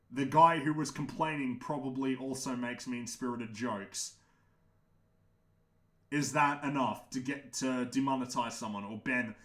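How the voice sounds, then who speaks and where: A young man with a naturally deep voice rambles on a livestream, blunt and opinionated, tripping over his words and laughing now and then. His voice sits at an ordinary pitch for a man. The room around him echoes noticeably. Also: a bit bright. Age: young adult. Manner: opinionated, frank, swears, frequent restarts; laughs often. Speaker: livestream host answering chat.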